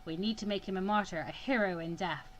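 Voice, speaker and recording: A woman voicing a cold, self-assured male mediator in measured, formal visual novel dialogue. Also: medium pitch, slight background noise, lightly acted, low-quality recording. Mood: calculating, certain.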